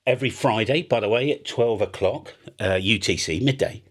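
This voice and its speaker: A British man hosting a casual explainer in a chatty, animated way, slipping into acted-out example exchanges. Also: lowish voice, normal speed. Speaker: ham radio video host.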